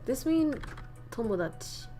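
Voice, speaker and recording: A woman's voice: high voice, expressive, a little slow, dark tone, soft background music. Chatty Vietnamese-accented young woman streamer. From northern Vietnam. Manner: chatty, laughs often, reads chat aloud. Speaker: streamer teaching idioms. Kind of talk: casual livestream teaching.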